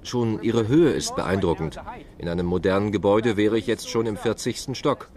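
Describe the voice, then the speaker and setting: A man's voice: lowish voice, normal speed, a little mellow. A man presenting a documentary on location, delivering scripted commentary.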